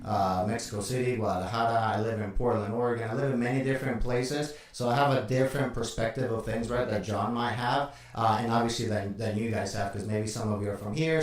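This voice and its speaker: A man co-hosting a live stream and talking in a relaxed way, with frequent filler sounds. He sits well back from the mic, and his voice rings around a very echoey room. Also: on the low side, brisk, far from the mic. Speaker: co-host.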